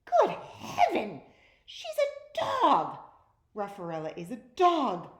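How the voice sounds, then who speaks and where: A woman voicing a shocked, outraged royal banquet guest in a storybook, exclaiming loudly in drawn-out words. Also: wildly swooping pitch, exaggerated voice. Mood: shocked outrage. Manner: loud, high, drawn-out exclaiming. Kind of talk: storybook read-aloud. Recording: roomy, echoey, faint background noise.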